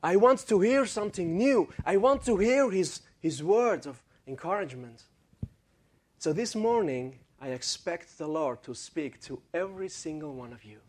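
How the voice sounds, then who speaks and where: A young man with an Armenian accent, preaching a sermon in halting English, with many fillers and restarts and some joking with the congregation. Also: high-pitched, animated. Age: young adult. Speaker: guest preacher.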